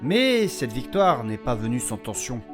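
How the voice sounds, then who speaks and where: A man's voice: mid-range voice, very animated, unhurried, music in the background. An actor playing an anxious, courteous healer who speaks formally to his companions in a fantasy audio drama. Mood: grave narration. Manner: formal, addresses others politely as monsieur.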